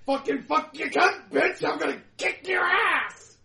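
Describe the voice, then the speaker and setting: Feral male vampire prisoner, screaming and cursing, pitched well up, a man acting it out. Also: expressive, measured pace, creaky, pushed and bright, reverberant, full character voice. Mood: raging. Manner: screaming profanities.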